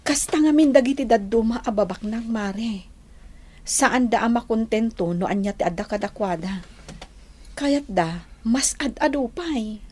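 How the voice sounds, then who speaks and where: High-voiced, patient, devout housewife. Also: female voice, measured pace, warm tone. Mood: resigned worry. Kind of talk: Ilocano radio drama acting.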